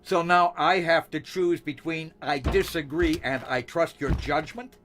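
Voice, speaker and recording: A man playing a smoothly bureaucratic, unflappable boss in an audio drama, measured and matter-of-fact. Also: a little high, fairly bright tone. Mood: measured, probing. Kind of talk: noir audio drama acting. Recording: music bed under voice.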